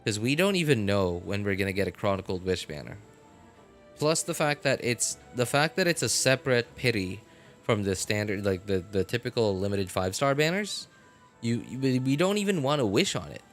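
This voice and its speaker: A man talking casually on a game live stream, rambling with many fillers and getting animated about his opinions. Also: lowish voice, normal speed, soft background music. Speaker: gaming streamer.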